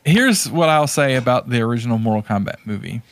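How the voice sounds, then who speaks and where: A man's voice: mid-range voice, expressive, a little fast. A man co-hosting a podcast, casually talking over movies and giving his opinions conversationally. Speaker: podcast co-host. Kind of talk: casual chat about movies.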